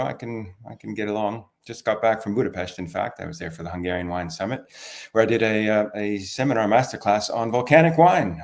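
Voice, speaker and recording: A man with a Canadian accent, an interview guest telling stories conversationally in an affable way. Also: lowish voice. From Toronto, Canada. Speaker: interview guest, wine expert. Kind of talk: relaxed conversational storytelling.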